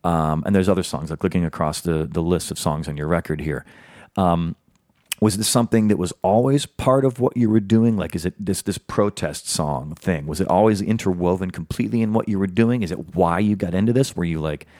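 A male podcast host asking rambling, animated questions packed with "like"s in a casual interview. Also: deep voice, a little fast, no echo, clean studio sound.

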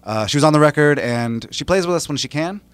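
A man's voice: on the high side, lively intonation, smooth, no echo. A man from the Boston area, a band's frontman, answering interview questions casually with lots of fillers. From Boston area, US East Coast. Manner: quick, chatty, with many fillers. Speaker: band frontman, guest.

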